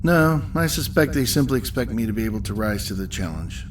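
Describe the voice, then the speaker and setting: An actor playing a stern, weary starship captain in a science fiction audio drama, speaking in a measured, articulate way. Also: male voice, on the low side, animated, natural voice. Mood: reflective, weary. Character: stern, weary male starship captain, dryly self-doubting. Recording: faint background ambience noise.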